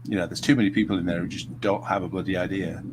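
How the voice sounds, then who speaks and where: Very deep-voiced man, noisy line. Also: steady tone, fast. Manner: rambling, frequent "you know" fillers. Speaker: host. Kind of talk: casual political chat. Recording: noticeable background noise.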